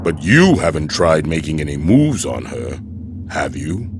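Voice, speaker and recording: An actor playing a stern, protective male mercenary in a video game, speaking formally and deliberately with dry menace. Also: extremely low pitch, very animated, full character voice. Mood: stern, suspicious. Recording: music behind the voice.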